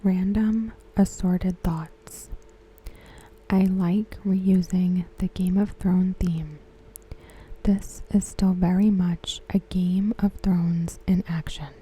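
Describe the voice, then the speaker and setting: A woman giving ASMR commentary in a soft, breathy whisper, hushed and measured. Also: lowish voice, fairly even intonation, low-quality recording. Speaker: ASMR host reviewing a TV episode. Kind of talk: ASMR commentary, softly spoken.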